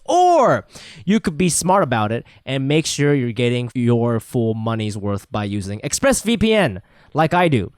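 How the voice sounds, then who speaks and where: A man's voice: on the high side, no room sound, studio quality. A man co-hosting a podcast in an informal, joking way, in casual chat and chatty host-read spots.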